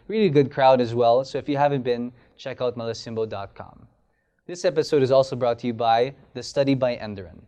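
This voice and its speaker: A man's voice: mid-range voice, mellow. A man hosting a podcast, reading the intro and sponsor spots and then asking a guest questions in a friendly, upbeat way. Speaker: podcast host.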